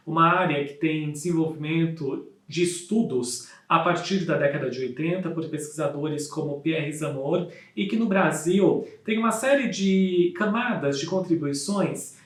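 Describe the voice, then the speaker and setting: Higher-voiced Brazilian man presenting research, echoey room. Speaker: academic researcher presenting a paper. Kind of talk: formal scholarly presentation.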